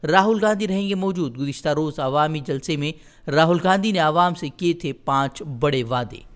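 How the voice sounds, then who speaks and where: A man's voice: fairly high pitch, moderate pace, smooth. A man reading a scripted news bulletin in formal, headline-style phrases. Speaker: news presenter.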